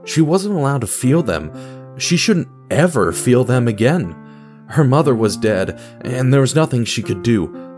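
A man with a fairly low voice narrates a scripted radio play over guitar music. His delivery is theatrical and wry, like a storyteller confiding directly in the listener. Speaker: narrator and host of an audio drama.

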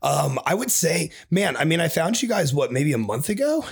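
A man's voice: on the high side, very animated, normal speed, vocal fry, breathy edge. A man in his twenties hosting a podcast in casual chat, hyped and swearing constantly. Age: 20s. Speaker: podcast host.

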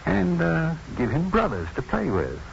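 An actor playing a confident, tender doctor and husband in 1950s radio drama, teasing and measured in his delivery. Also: fairly high pitch, wildly swooping pitch, creaky, exaggerated voice. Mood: earnest, reassuring. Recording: dull, faintly noisy 1950s radio broadcast.

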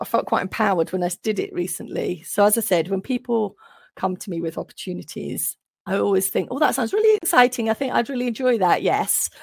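A woman's voice: mid-range voice, vocal fry, a little mellow, no echo, studio quality. A woman guest, an occupational psychologist, talking thoughtfully in an interview and often restarting as she builds her points. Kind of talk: reflective interview talk.

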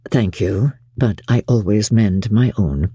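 A woman voicing a proud, reserved, coolly exacting gentleman in an audiobook, formal and measured. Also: very deep voice, husky, no room sound, studio quality, voice pushed down, heavily acted. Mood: cool reserve. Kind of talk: audiobook character acting.

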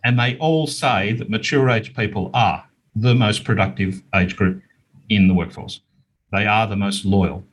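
Man, Australian accent, low-pitched, husky, emphatic, conversational interview guest. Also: unhurried. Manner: outspoken, emphatic. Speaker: interview guest, business owner and author.

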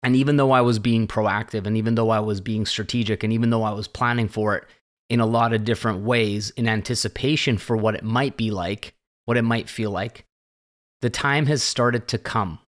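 A man in his late thirties talks alone in a loose, reflective way, circling back on his points and checking in with "right?" His voice sits a little low. He sounds like a host musing to his listeners. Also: steady tone, moderate pace. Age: late 30s. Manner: rambling and conversational, often tags "right?" and "this kind of thing". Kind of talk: reflective solo monologue.

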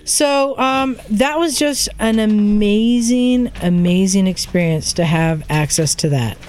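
A woman's voice: mid-range voice, slow, a little mellow, slight background noise. Woman telling a story among friends. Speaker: podcast co-host telling a travel story. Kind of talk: casual storytelling in a group chat.

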